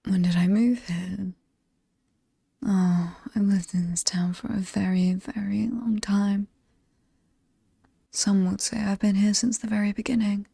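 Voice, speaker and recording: A woman with a British accent playing an alluring, sinister ageless demon in a horror ASMR roleplay, breathy and half-whispered, teasing and lingering over her words. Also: medium pitch, steady tone, unhurried, long pauses, warm tone, dry recording, clean studio sound. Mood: intimate, wistful. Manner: seductive, teasing, theatrically ominous, lingering on words.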